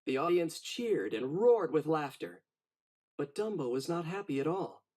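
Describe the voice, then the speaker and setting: A man reads a children's story aloud with theatrical expression. His voice sits fairly high and swings widely in pitch, with a slightly husky, breathy edge. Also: a little slow. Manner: animated, dramatic storytelling. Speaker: storybook narrator.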